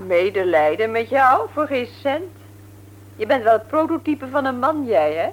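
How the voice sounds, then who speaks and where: An actress in a Dutch radio play, playing a brisk, teasing, no-nonsense nurse married to a man she likes to mock. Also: fairly high pitch, big pitch swings. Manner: brisk, mocking, matter-of-fact. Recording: noisy old radio recording with hum.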